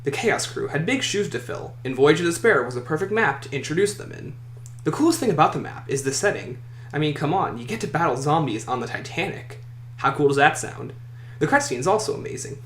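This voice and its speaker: Echoey man's voice with lively, swinging pitch. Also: brisk. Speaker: list video host. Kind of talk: scripted countdown commentary. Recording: echoey room, faint noise.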